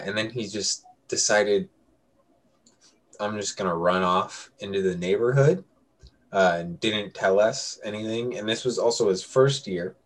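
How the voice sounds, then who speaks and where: A young adult man telling a story casually to friends, rambling and correcting himself as he goes. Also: lowish voice. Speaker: podcast co-host telling a story.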